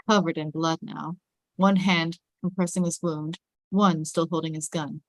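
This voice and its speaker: A woman's voice: fairly low pitch, no room sound, rough recording. A woman reading screenplay stage directions as scripted narration.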